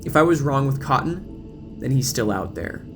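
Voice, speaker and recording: A man's voice: medium pitch, expressive, moderate pace, music in the background, close to an everyday voice. A man voicing a troubled, weary teenage girl with a subdued, wry manner in an audio drama. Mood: anxious.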